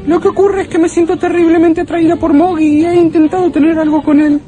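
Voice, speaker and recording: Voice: extremely high pitch, flat delivery, fast, dark tone, soft background music. Maestra enamorada, aguda y suave. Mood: smitten, pleading. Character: smitten, insistent young female primary school teacher. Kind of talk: comedic radio play acting.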